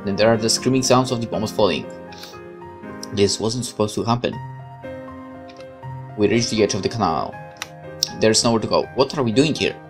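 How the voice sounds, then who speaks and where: A woman's voice: extremely low pitch, normal speed, pauses often, over music. A woman reading a game's story aloud and adding casual commentary as she plays. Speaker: game player narrating a playthrough.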